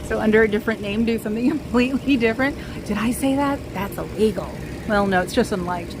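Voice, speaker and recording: A woman's voice: a little high, fast. Chatty woman losing her voice outdoors. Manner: chatty, digressive, calls out to passers-by. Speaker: livestreamer. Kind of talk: rambling live-stream chat. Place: outdoors on a street in San Francisco. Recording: outdoor street recording with traffic noise.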